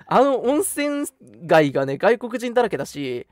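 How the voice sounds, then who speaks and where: A young man hosting a radio show, chatting casually and reminiscing in an animated storytelling way. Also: high voice, normal speed, no room sound, faint music behind. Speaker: radio talk show host.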